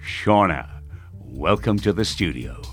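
A man playing a grandiose, theatrical radio host in a dark audio drama. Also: deep voice, lively intonation, slow pace, faint music behind. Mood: showy on air. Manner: pompous, cryptic, showmanlike. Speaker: radio presenter character.

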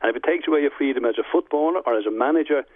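A man in his sixties with a Dublin Irish accent, speaking as a guest in a reflective radio interview, measured and often repeating words as he restarts. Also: medium pitch, dry recording, narrow, tinny audio. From Dublin, Ireland. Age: 60s. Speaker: guest, former footballer and manager.

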